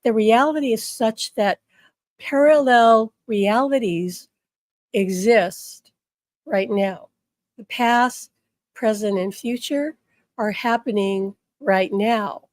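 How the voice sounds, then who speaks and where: Slightly hoarse woman teaching spirituality. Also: fairly high pitch, slow pace, no echo. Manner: earnest, checks in with the listener often. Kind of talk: direct-to-listener spiritual talk.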